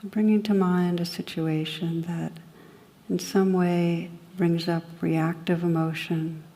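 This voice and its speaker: A woman guiding a meditation in a gentle, soothing manner, speaking in short phrases with long pauses. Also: on the low side, little pitch movement. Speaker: meditation guide. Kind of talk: guided meditation.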